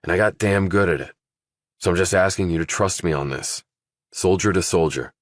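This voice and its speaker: A man's voice: sits low, moderate pace, no room sound, clean studio sound, natural voice. A man voicing a guarded, terse ex-soldier working undercover, in understated audiobook dialogue. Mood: firm, persuasive.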